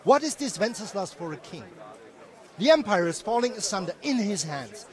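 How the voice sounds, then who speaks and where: A man's voice: high-pitched, very animated, normal speed. A man playing a stubborn, self-righteous German townsman arguing emphatically in a video game cutscene. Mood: indignant. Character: stubborn, indignant German townsman, a pro-Sigismund villager.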